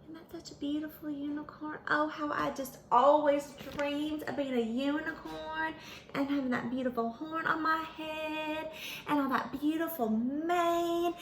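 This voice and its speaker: A woman voicing an excitable, vain, dreamy female pony, telling her story to children in a gushing, folksy, dramatic way. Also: sits high, a little slow, faint music behind. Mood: wistful, forlorn. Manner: gushing, dramatic, folksy, addresses listeners as y'all. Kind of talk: animated first-person storytelling for children.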